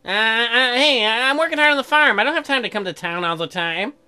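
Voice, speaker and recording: An awkward, earnest young farmer husband, read by a woman, halting and stammering through his lines. It is a visual novel character read with music behind it. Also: a little high, animated, sharp tone, clean tone. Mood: awkward, bashful.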